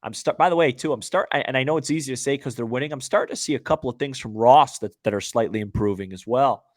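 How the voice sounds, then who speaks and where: Man, sports podcast co-host, mid-pitched voice, dry sound, casual choppy conversational delivery. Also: quick pace, no echo.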